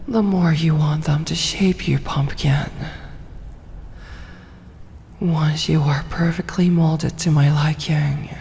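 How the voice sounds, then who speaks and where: Vampire woman, played by an actress, ASMR roleplay: low, dark whisper, hypnotic, doting but commanding. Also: heavily acted. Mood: possessive, doting. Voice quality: breathy, whispered. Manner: slow, coaxing, commanding yet doting. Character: possessive, seductive vampire woman mesmerizing her prey. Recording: long hall reverb, faint hiss and ambience.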